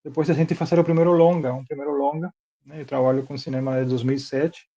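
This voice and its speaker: A man with a fairly high but mellow voice and a Cuban accent, a native Spanish speaker. He talks informally as an interview guest, in a slightly dull lo-fi recording. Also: steady tone, quick pace. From Cuba. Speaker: interview guest, film producer and director.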